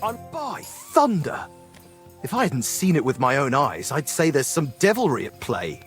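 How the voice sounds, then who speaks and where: A man's voice: fairly high pitch, huge pitch swings, normal speed, soft background music. A man playing a superstitious, sore-losing gambler in a medieval video game, grumbling and exclamatory. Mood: baffled, suspicious. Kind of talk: video game dialogue acting.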